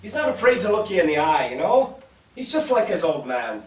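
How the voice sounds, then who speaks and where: Distant on an echoey stage, a man plays a brisk mill boss. He is hearty, chatty and in charge, with an animated, high voice. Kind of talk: staged play reading. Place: theatre stage. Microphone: distant microphone.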